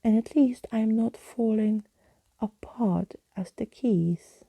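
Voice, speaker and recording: A woman voicing a fretful, pompous living skeleton in a softly read storybook, with wordy, indignant old-fashioned phrasing. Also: fairly high pitch, slow pace, gravelly, dry recording, pitched above the performer's own voice, heavily acted.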